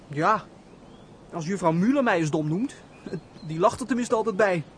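Naive young man, high voice, talkative, simple-minded, radio play, slightly noisy recording. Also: lively intonation, moderate pace. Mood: musing, chatty. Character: naive, simple, chatty young son of an estate steward.

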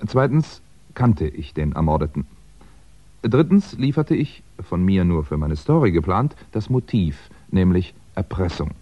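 An actor playing a wry, articulate crime writer who speaks in a measured, precise way in a 1960s German radio play. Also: male voice, deep voice, big pitch swings, full character voice. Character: wry, articulate male crime writer narrating his own predicament. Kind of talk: 1960s German radio drama, first-person narration. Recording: muffled 1960s radio recording.

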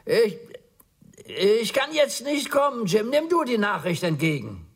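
An actor playing a fussy, timid, obsequious little undertaker in a radio play, halting and earnest, with a breathy, husky voice. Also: male voice, high-pitched, sing-song, full character voice. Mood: anxious. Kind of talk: German radio play acting.

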